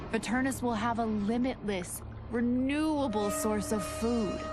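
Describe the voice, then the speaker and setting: Woman playing a cold scheming vampire over loud music. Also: mid-range voice, natural voice. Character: cold scheming vampire woman hungry to feed her people. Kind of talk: scripted game ending narration.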